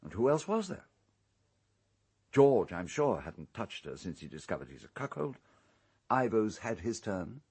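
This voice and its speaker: An actor playing an urbane, calculating English island owner in a radio drama, speaking in a measured, precise, coolly unrepentant way. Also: male voice, lowish voice, big pitch swings, darkish tone, slightly rough, heavily acted. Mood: wry, knowing.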